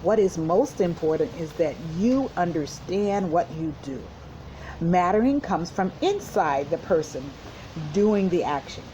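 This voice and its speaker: A woman voicing a small, proud female bumblebee in a children's storybook, shrill, instructive and encouraging. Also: expressive, measured pace, dark tone, slight background noise, poor audio. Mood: earnest, encouraging. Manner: instructive, reassuring. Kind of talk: children's storybook read-aloud.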